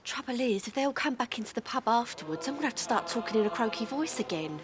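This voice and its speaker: A quick, fairly high female voice with music behind it. It is an actress as a bustling, chatty schemer in a TV soap. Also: animated, close to an everyday voice. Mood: conspiratorial, bustling. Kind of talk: naturalistic TV soap acting.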